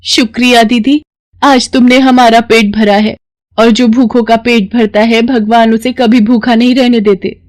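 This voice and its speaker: A woman's voice: a little high, flat delivery, unhurried, darkish tone, pitched above the performer's own voice, lightly acted. A woman performs a destitute mother from a cartoon story. She is humble and pleading, in a slightly raised voice. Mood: grateful. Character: poor, hungry, grateful mother begging for food.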